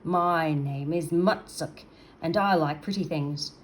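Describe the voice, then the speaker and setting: A woman voicing a big, gruff, greedy male storybook creature with a growl and a blustering, drawn-out delivery. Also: deep voice, slight background noise. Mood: gloating, menacing.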